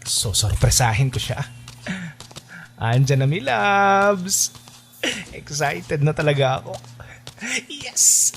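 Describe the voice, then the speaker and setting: A man's voice: a little high, big pitch swings, takes it slowly, creaky, long pauses, a bit bright, slight background noise, full character voice. Lovestruck young man in radio drama. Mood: excited. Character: lovestruck young man, engaged, playful with his best friend. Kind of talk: radio drama acting with first-person narration. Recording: music behind the voice. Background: background music.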